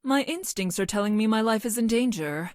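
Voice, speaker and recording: A woman playing a logical young woman scientist from the future in a video game, speaking in formal, clinical, precise deadpan. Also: a little high, brisk, dry recording, studio quality, lightly acted. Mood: detached, wary. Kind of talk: video game character voice lines.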